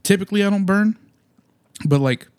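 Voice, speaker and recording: A man's voice: high voice, moderate pace, darkish tone. A man in his thirties from the Munster area, telling rambling, profane stories in casual podcast chat. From Munster, Indiana area.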